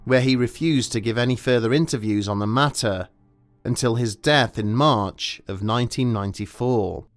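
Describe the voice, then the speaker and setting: A man narrating a scripted documentary story in a measured, grave and formal manner. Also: medium pitch.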